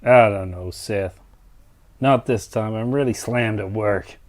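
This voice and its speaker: A man's voice: lowish voice, takes it slowly, a little mellow. A man playing a blunt, resentful, set-in-his-ways engineer in an exasperated dramatized dialogue. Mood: curt, reluctant.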